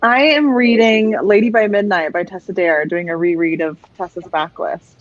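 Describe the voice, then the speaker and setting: A woman's voice: lowish voice, fairly even intonation, moderate pace, no echo. A woman panelist chatting casually about books, enthusiastic and emphatic. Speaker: readalong panelist. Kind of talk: casual book chat. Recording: muffled, dry video-call audio.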